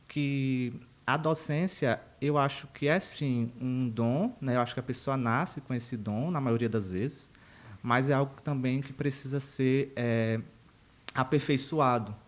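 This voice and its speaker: A man's voice: medium pitch, unhurried. A man in his thirties, a university professor, chatting in a casual interview and wandering off into side stories. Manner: chatty and digressive, laughs at himself. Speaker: university professor, podcast guest.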